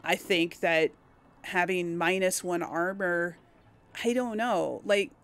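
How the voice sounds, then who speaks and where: A woman's voice: fairly low pitch, slow pace, fairly bright tone. A woman giving casual let's play commentary, hesitant and musing as she thinks aloud to herself. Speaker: gaming host.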